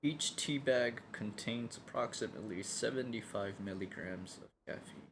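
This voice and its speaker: Very deep-voiced woman streaming a game. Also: moderate pace, slight background noise. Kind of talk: casual live reaction commentary. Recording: music playing behind.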